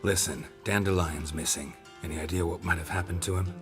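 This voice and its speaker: An actor playing a terse, stoic monster-hunting mercenary in a video game, deadpan and clipped. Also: male voice, low-pitched, fairly quick, close to an everyday voice. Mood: dry, stoic. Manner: laconic, deadpan, clipped sentences. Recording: music behind the voice.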